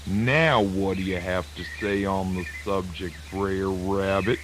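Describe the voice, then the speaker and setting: A man playing a dignified, sly old terrapin in a children's radio folk tale, with a Southern American accent and a deliberate, courteous, folksy manner. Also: deep voice, steady tone. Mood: measured, challenging. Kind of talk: children's radio drama acting. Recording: noisy old radio drama recording.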